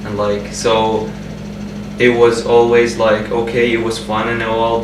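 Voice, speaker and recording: A man's voice: on the low side, vocal fry. A man in his twenties from Jordan, speaking in a personal interview in a hesitant way with many restarts and fillers. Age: 20s. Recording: roomy sound from a distant mic with background hum.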